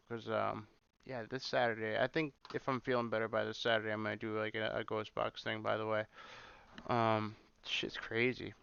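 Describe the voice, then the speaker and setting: A man's voice: lowish voice, creaky, fairly bright tone, clear, resonant tone, no room sound, muffled recording. A man hosting a casual livestream, rambling and repeating himself, swearing often as he reacts. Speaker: livestream host. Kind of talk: casual livestream chat reacting to clips and viewer comments.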